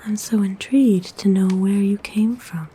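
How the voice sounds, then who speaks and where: A woman playing a kind, motherly forest witch in a soft, breathy, gentle whisper for an ASMR roleplay. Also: medium pitch, fairly even intonation, a little hiss or hum, lightly acted, poor audio. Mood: soothing, intrigued. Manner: gentle, soothing, coaxing, unhurried. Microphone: close microphone.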